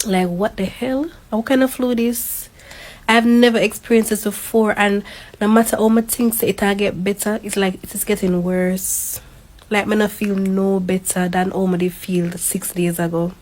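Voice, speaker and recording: A woman's voice: medium pitch, steady tone, moderate pace, a little mellow, poor audio. A Jamaican woman in her late twenties, sick with the flu, complaining in exasperated disbelief. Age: late 20s. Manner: exasperated, incredulous. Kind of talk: casual complaint to camera.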